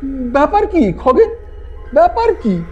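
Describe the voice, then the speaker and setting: A man voicing a stubborn, excitable man in an audio story, speaking with dramatic emphasis. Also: extremely high pitch, takes it slowly, muffled recording, pitched above the performer's own voice, heavily acted. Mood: alarmed. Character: stubborn, excitable young man attached to his haunted bed. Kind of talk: acted dialogue in an audio story. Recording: music behind the voice.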